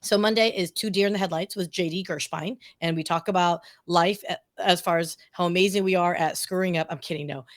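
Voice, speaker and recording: A woman's voice: fairly low pitch, fairly even intonation, a little fast, hardly any pauses, no echo. A woman podcast guest talking casually and effusively, informal and self-deprecating, wandering off on tangents. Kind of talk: casual, chatty interview answers.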